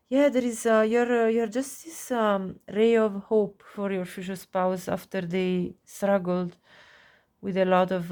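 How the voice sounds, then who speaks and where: Woman w a cold reading tarot, nasal, soft and mellow, keeps gushing over the cards. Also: on the low side. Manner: soft, gushing, warm-hearted with frequent little laughs. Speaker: tarot reader. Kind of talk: pick-a-card tarot reading to camera.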